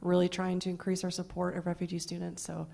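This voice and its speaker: A woman's voice: fairly low pitch, monotone, fast talker, gravelly, warm tone, slight background noise. A woman representing a university who introduces herself eagerly and enthusiastically.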